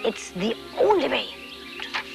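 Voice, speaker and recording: An eccentric, blunt mystic guide in a comic TV fantasy. Her high voice swoops dramatically and draws words out slowly, with music playing behind her. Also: big pitch swings, gravelly, heavily acted. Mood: ominous. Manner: dramatic, drawn-out, scolding. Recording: music behind, VHS tape source.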